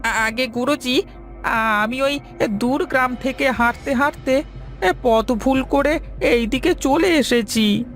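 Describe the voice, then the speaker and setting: Woman as earnest, slow-talking village lad. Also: high voice, soft background music. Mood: humble, pleading. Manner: earnest, respectful. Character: humble, devoted village son, eldest of three brothers. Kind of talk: Bengali fairy-tale cartoon acting.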